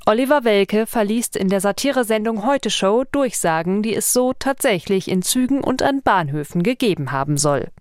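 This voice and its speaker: A woman's voice: mid-range voice, lively intonation, moderate pace, few pauses, smooth, no echo, clean studio sound. A woman radio reporter narrating a scripted fact-check report.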